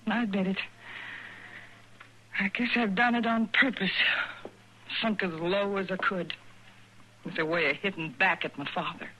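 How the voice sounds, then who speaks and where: An American actress playing a bitter, defiant young daughter in a radio western, with intense, brooding delivery. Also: female voice, mid-range voice, fairly even intonation, normal speed, long pauses, sharp tone, slightly rough, muffled recording. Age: young adult. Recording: noisy old 1950s radio broadcast recording.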